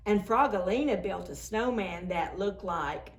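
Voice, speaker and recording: A woman doing an expressive, playful picture-book read-aloud for preschool children. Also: mid-range voice, unhurried, a little hiss or hum. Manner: playful, acts out sound words. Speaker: children's storytime reader.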